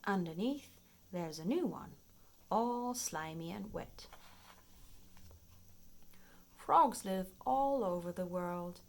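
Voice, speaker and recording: Slow, animated woman reading to children. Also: on the low side. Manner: expressive, playful, clearly paced for young children. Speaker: children's storybook reader. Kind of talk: read-aloud children's nonfiction storytime narration.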